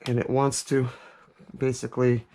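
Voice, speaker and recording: A man's voice: medium pitch, flat delivery, normal speed, mellow. A man giving casual, hands-on unboxing commentary as a reviewer, thinking aloud in an informal, hesitant way. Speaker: product reviewer and vlog host. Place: office. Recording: clear background noise with clicks.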